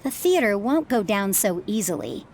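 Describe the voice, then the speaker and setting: An actress playing a seasoned retired adventurer, a calm widowed mother who speaks in a reflective, encouraging way in a video game. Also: medium pitch, slight background noise. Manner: reassuring, reflective, measured encouragement.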